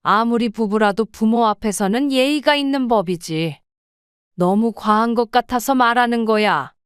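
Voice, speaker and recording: A woman's voice: a little high, normal speed, smooth, no echo, clean studio sound, close to an everyday voice. A woman voicing a stern, proper mother in her seventies who scolds firmly in an audio drama. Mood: reproachful. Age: older. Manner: firm, reproachful scolding.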